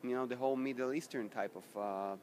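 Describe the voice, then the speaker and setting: A man in a band, talking in an interview, halting and repeating words as he searches for them. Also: medium pitch, darkish tone. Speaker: band member. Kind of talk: casual interview chat. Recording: noisy background.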